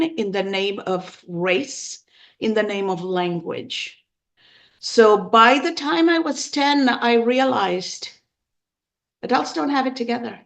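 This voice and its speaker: A woman in her fifties from Sri Lanka, telling her personal story as an interview guest in an earnest, emphatic, deliberate way. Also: medium pitch. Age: 50s. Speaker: podcast guest.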